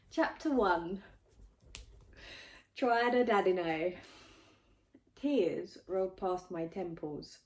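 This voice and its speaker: Mellow woman narrating a novel. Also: fairly high pitch. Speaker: author reading own book. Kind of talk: expressive audiobook narration.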